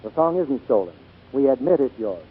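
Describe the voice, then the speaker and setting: A man's voice: medium pitch, lively intonation, brisk. A man playing an unruffled, dry, conciliatory theater manager in 1940s radio drama style. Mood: calm. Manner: smooth, conciliatory. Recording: tinny, lo-fi 1940s radio broadcast.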